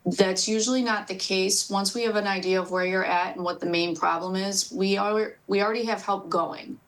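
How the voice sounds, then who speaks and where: Female, American, medium pitch. Dispatcher in an interview, explaining how the work is done. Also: fairly even intonation, normal speed, low-quality recording. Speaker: police dispatcher being interviewed.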